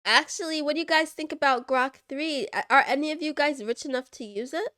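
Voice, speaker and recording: A woman's voice: high voice, brisk, bright, forceful tone, no echo, clean studio sound. A young woman streaming and chatting with viewers, playful and giggly with cat puns, her voice stuffy from congestion. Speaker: VTuber streamer. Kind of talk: casual livestream chat reading viewer messages.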